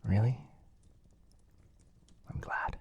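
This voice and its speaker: A man playing a kind, flustered young wizard in an intimate boyfriend ASMR roleplay, speaking softly and gently with some hesitation. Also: drawn-out words, muffled recording. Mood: fond, chatty.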